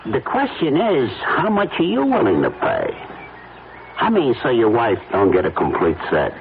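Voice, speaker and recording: Sly, swooping small-time blackmailer. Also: male voice, medium pitch, huge pitch swings, normal speed, vocal fry. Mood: wheedling, menacing. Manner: folksy uneducated talk, oily false friendliness. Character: sly male small-time blackmailer photographer, falsely chummy, wheedling. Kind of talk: old-time radio drama acting. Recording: tinny band-limited radio recording, faint noise.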